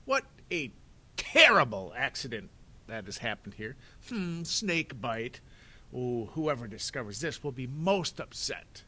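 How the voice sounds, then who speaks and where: A man voicing a sneering, scheming villain who talks with mock politeness and drawn-out, theatrical menace. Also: medium pitch, big pitch swings, sharp tone, full character voice. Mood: mock surprise.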